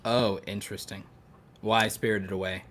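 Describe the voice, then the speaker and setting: A man's voice: on the low side, little pitch movement, normal speed, fairly bright tone. A man chatting casually as a podcast co-host, riffing playfully and repeating himself for emphasis, with some swearing. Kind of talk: casual chat about fictional universes.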